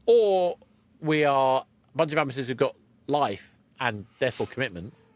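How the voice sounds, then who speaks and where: A man's voice: mid-range voice, lively intonation, normal speed. A man hosting a conversational podcast interview, often repeating and rephrasing his words as he goes. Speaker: podcast host.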